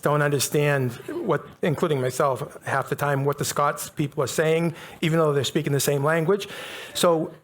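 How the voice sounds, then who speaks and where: Middle-aged North American man lecturing a live audience, fairly high voice, conversational, emphatic, leans on key words. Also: a bit bright. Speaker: speaker giving a public talk.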